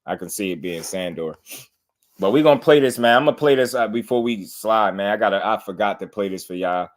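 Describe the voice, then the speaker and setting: A man's voice: fairly low pitch, fairly quick. Laid-back man riffing on boxing. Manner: laid-back, laughs often, repeats himself. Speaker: boxing channel host. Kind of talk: casual reaction commentary.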